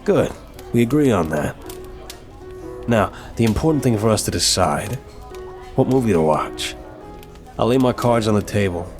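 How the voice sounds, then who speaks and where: A man's voice: fairly low pitch, animated, normal speed, long pauses, faint music behind, lightly acted. A man playing an apologetic, flirtatious mafia boss who talks to a date in a teasing, earnest, affectionate way, in an ASMR roleplay. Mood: casual, affectionate.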